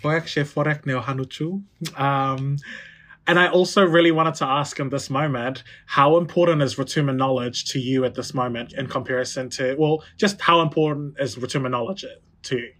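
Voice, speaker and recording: A man hosting a podcast who asks slow, thoughtful questions and often pauses on "um". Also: mid-range voice, a bit bright. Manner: thoughtful, hesitant, many "um"s. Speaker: host. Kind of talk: interview questions.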